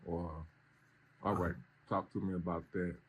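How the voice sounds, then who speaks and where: A man's voice: sits low, level tone, a little slow, rough recording. A man hosting a casual interview, speaking in an informal, slangy way. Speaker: interviewer, show host. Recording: noticeable background room noise.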